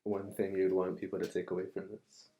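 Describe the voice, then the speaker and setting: A man co-hosting a podcast in casual reflective chat, supportive and quick to affirm. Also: sits low, completely flat delivery, warm tone. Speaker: podcast co-host. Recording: echoey room.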